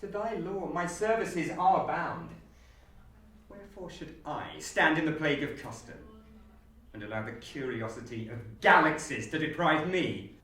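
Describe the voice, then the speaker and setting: A man's voice: fairly high pitch, a little slow, pushed and bright. A man auditioning as an actor, with chat and theatrical recitation. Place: university room, audition being filmed. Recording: very echoey room, distant mic.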